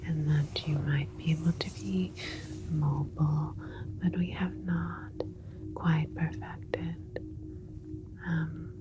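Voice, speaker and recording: A woman plays a gentle alien scientist in an ASMR roleplay. The voice is a low-pitched whisper, drawn out very slowly and with care. The manner is soothing yet clinical, every word placed deliberately. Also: low-quality recording. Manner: slow, soothing, deliberate, precise wording.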